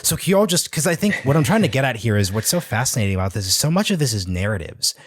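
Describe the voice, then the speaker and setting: A man's voice: medium pitch, very animated, very fast, dry recording, studio quality. A man hosting a podcast interview, asking long, thinking-aloud questions in a casual conversational way. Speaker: podcast host.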